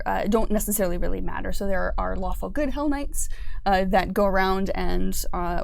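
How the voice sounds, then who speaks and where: Woman, game developer as interview guest, mid-pitched voice, explanatory livestream talk. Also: vocal fry, a little mellow, no room sound.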